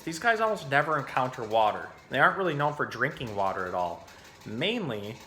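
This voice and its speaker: Bright-voiced man, informal educator. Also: medium pitch. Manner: informal, explanatory. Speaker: zookeeper hosting an animal storytime. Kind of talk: casual educational talk and storybook reading.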